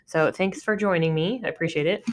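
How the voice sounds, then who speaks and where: A woman's voice: lowish voice, fairly quick. A woman in her thirties from Oregon, hosting a casual business podcast interview, chatty with many ums and laughs. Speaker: podcast host.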